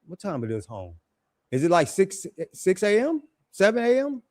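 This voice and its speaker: Raspy middle-aged man, casual. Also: huge pitch swings, moderate pace, darkish tone, no room sound. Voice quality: deep raspy voice. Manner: laid-back, repeats phrases, slangy. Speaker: guest living abroad. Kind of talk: casual chat.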